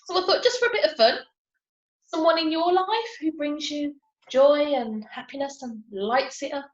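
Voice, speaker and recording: High-voiced woman teaching over video call. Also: steady tone, moderate pace. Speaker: lesson leader presenting metaphors. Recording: echoey, slightly muffled video call.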